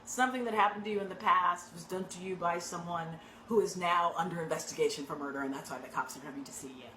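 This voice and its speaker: A woman acting coach giving direct, conversational tips to actors, often checking in with a quick "right?". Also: fairly low pitch, slight background noise. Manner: conversational, frequent rhetorical "right?" tags; animated and emphatic. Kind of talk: direct teaching talk to actors. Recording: echoey room with faint ambience.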